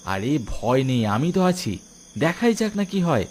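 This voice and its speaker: A man playing a brave, concerned young village friend in an animated horror story, speaking emphatically. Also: on the high side, unhurried, slight background noise. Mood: reassuring.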